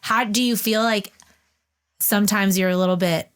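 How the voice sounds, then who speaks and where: A woman's voice: medium pitch, fairly even intonation, moderate pace, smooth. A woman hosting a podcast, chatting with a guest in a bubbly, gushing way and asking eager questions. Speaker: podcast host. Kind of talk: casual interview chat.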